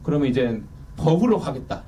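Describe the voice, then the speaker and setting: A man's voice: on the high side, big pitch swings, a little fast, warm tone. A man in his thirties, an author, talking candidly and self-deprecatingly in a casual interview. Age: 30s. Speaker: author guest. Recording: distant mic with faint noise.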